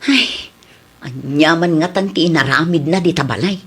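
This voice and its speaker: An earnest, worried wife. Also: female voice, low-pitched, normal speed, fairly bright tone, slightly rough. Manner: earnest, protesting. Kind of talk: radio drama acting.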